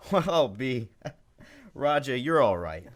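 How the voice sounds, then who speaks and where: A man voicing a greedy, crooked businessman with a loud, gruff, chuckling manner. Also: medium pitch, big pitch swings, takes it slowly.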